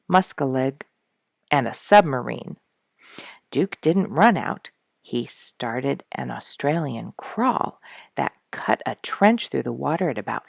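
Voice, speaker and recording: A woman narrating a storybook chapter aloud with expressive, dramatic emphasis. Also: very deep voice, vocal fry, no room sound, studio quality.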